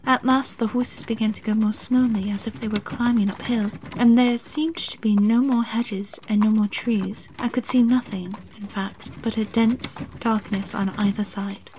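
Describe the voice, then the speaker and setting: Woman as a curious lonely little girl telling the story, highish voice, faint hiss. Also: darkish tone, natural voice, low-quality recording. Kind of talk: first-person storybook narration.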